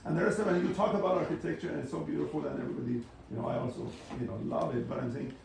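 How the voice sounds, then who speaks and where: A man thinking aloud in an unscripted academic architecture discussion, halting and often rephrasing as he moderates. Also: on the high side, steady tone, darkish tone. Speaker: discussion moderator responding to speakers. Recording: roomy, distant microphone, clear background noise.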